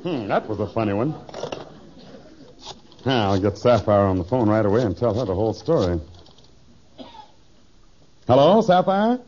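A man's voice: lowish voice, big pitch swings, measured pace, long pauses, a little mellow, slight background noise. An actor playing a polite, chatty, businesslike man in a 1950s radio sitcom, plain-spoken in manner. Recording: dull old radio recording.

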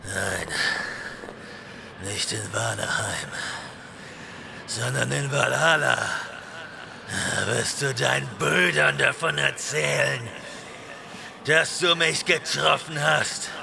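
Young barbarian, extremely gravelly, very slow, defiant, grim, fantasy audio drama. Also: male voice, mid-range voice, background noise. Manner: defiant, declamatory.

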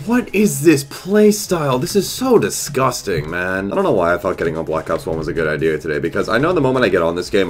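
Young man, stuffy nasal cold, deep voice, swearing rants, headset in a small bedroom, faint background hiss. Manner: profane, exasperated rants. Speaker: gaming YouTuber. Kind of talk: casual commentary over gameplay.

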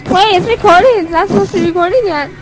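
Teen girl, very high voice, casual chatter, heavy noise on amateur camera audio. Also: fast. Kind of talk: casual home-video chatter.

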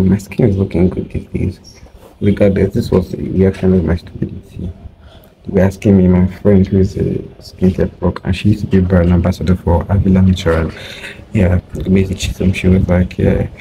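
This is a late-teen man with a deep, mellow voice. He talks softly and in a rambling, low-key way, like someone checking in with a vlog diary. He holds his phone at arm's length outdoors beside a brick wall. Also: vocal fry, slight background noise, low-quality recording. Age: late teens. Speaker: vlogger. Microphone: phone held at arm's length.

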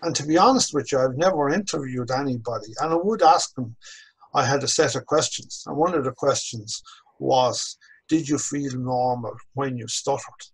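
A man in his sixties with an Irish accent, a course instructor telling his own story slowly and reflectively on a video call from a home office. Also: mid-range voice, husky, rough recording. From Ireland. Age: 60s. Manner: measured, reflective, slows down on key phrases. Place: home office with bookshelves, indoors. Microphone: video call webcam.

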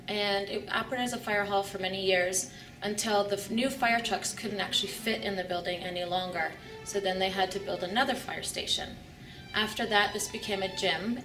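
A woman speaks in a friendly, welcoming tone at a mid pitch. She sounds a little distant from the mic in a roomy space, with soft music beneath. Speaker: coffee house owner. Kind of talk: documentary interview.

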